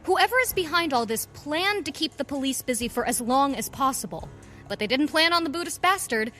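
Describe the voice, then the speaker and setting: An actress playing a sharp, frank nurse in an audio drama, with a brisk way of talking. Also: female voice, high voice, expressive, faint music behind. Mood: urgent, frank. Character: sharp, plain-spoken adult female nurse and ally.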